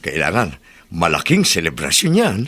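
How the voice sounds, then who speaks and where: A man's voice: low-pitched, huge pitch swings, moderate pace, fairly bright tone, full character voice. A man playing a jovial, familiar, insistent friend in a Tagalog radio drama. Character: jovial, nosy male friend pressing for celebration.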